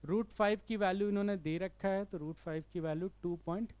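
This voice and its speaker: A man's voice: high-pitched, a little fast, a little mellow, smooth, no echo. A man with an Indian accent teaching physics, working through a problem step by step and often checking in with the listener. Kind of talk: explanatory problem-solving lesson.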